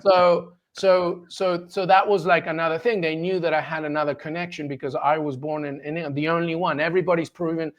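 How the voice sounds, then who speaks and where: A man in his forties from Peru, a sports journalist telling his story as an interview guest in an animated, enthusiastic way, quick to laugh. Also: fairly high pitch. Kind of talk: casual storytelling in a conversation.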